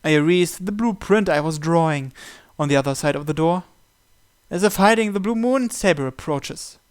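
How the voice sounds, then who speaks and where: A man's voice: fairly high pitch, moderate pace, clean tone. A man narrating a visual novel in the first person, reading from the script.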